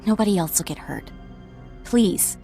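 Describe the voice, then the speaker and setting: Defiant cloned sister for a video game, young, intense and self-important, faint score behind. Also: female voice, mid-range voice, animated, unhurried, vocal fry, mellow, soft background music. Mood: desperate, pleading. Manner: intense, contemptuous.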